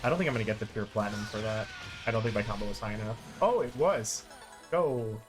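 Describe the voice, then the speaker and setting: A man's voice: fairly low pitch, animated, quick pace, faint music behind. A man giving casual, rambling live commentary as he plays a game, informal and self-deprecating. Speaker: streamer playing and explaining a game. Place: live stream with game music behind.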